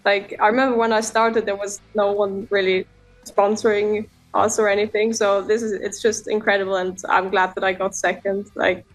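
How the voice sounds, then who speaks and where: A woman's voice: medium pitch, flat delivery, moderate pace, faint music behind, poor audio. Modest young woman from Austria. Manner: modest, hesitant. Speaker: trampoline athlete guest. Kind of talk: casual interview answers.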